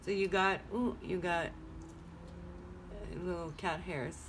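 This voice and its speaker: A woman's voice: lowish voice, steady tone, takes it slowly, noisy background. A woman whose first language is French, chatting casually as she reads tarot cards at home, rambling and thinking aloud. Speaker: tarot reader. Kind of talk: casual card-reading vlog. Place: home room.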